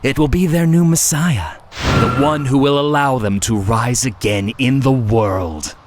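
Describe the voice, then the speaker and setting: An actor playing a scheming, taunting villain who craves chaos, speaking theatrically and condescendingly in a video game. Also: male voice, mid-range voice, a little slow, background noise, natural voice. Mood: grandiose scheming. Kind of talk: dramatic video game voice acting.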